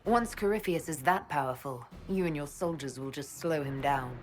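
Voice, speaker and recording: A woman's voice: sits low, normal speed, faint music behind, lightly acted. An actress playing a composed, wry woman leader, the self-assured hero of a fantasy video game. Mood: dry, composed. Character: composed, wry female hero leading an inquisition.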